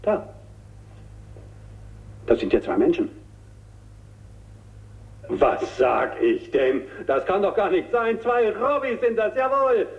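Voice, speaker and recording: Gushing TV showman, high-pitched and swooping, lingering on words; thin radio-drama sound. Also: expressive, slow pace, pauses often, big-room sound, heavily acted. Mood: astonished. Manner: gushing, savoring and repeating words. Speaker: TV presenter in a drama. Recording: tinny narrow-band radio drama recording.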